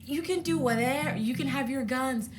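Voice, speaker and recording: A woman's voice: high-pitched, moderate pace, background noise. A woman chatting casually and playfully as a contestant on a dating show. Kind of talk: casual chat.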